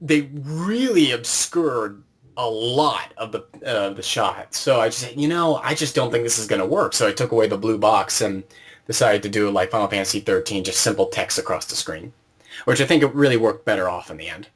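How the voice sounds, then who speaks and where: A man's voice: fairly low pitch, animated, brisk, husky. A man hosting an interview in a casual, conversational way, trading recollections as he talks. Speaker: interviewer, host.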